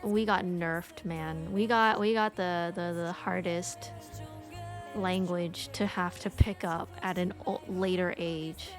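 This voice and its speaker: A woman's voice: fairly low pitch, steady tone, slow, over music. A woman from the United States chatting casually on a stream, rambling with many fillers and restarts. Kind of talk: casual chat.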